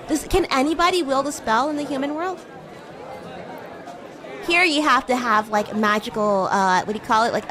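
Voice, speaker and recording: Woman playing a demon schoolgirl, high voice, swooping intonation, teasing, playful, livestream chat, music and crowd behind. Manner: teasing, giggly, animated, halting and questioning. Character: bratty, playful young female demon schoolgirl. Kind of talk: improvised in-character livestream roleplay chat. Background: music and crowd ambience.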